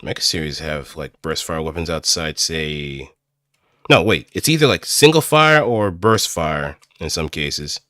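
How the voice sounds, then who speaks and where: A man streaming casually, thinking aloud and improvising as he goes, with hesitant pauses. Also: a bass voice, expressive, pushed and bright, no room sound, clean studio sound. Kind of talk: casual rambling livestream commentary.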